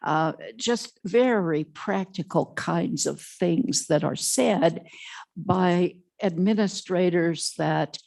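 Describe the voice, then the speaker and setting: A woman testifying before a legislative committee for a health care association. She has a loud voice and talks haltingly, with many fillers. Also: lowish voice, creaky. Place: legislative committee hearing room.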